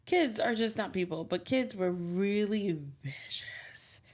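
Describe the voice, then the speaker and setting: A woman with a light New Jersey accent co-hosting a chatty podcast. Her talk is lively and playful, full of quick laughs. Her voice sits at an ordinary middle pitch. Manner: animated, playful, laughs often. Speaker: podcast co-host. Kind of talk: casual chat.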